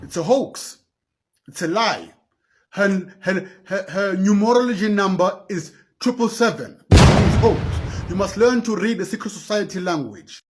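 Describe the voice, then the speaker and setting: A man's voice: high-pitched, expressive, normal speed, background noise. A man speaking emphatically in an insistent, warning tone, like a lecture. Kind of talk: conspiratorial lecture clip.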